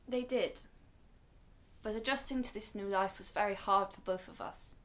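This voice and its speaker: A woman acts as a protective grandmother in a radio play. She explains things earnestly and with measured care, in a middling female pitch. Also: a bit bright, close to an everyday voice. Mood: sad, reflective. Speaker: actor in a radio drama. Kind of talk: radio drama dialogue.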